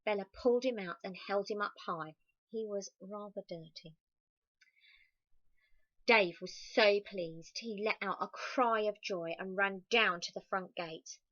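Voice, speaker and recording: Slow-paced woman reading a children's storybook. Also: mid-range voice, no room sound, muffled recording. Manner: animated, exclaiming, addressing young listeners. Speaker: storybook reader. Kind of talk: expressive read-aloud of a children's picture book with chatty asides.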